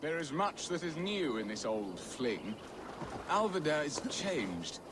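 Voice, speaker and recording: A man's voice: a little high, very animated, slow pace, background noise. An actor playing a jovial, romantic, middle-aged medieval nobleman in a video game, speaking in flowery, archaic phrases. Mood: wistful, confiding. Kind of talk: video game cutscene acting.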